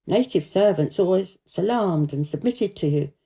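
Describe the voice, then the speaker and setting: A woman's voice: lowish voice, lively intonation, normal speed, warm tone. A woman reading a children's story as scripted narration.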